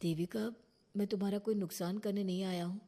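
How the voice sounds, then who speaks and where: A woman's voice: medium pitch, steady tone, a little fast, warm tone, close to an everyday voice. A woman voicing a meek, conciliatory young husband in a cartoon moral story, speaking earnestly and pleadingly.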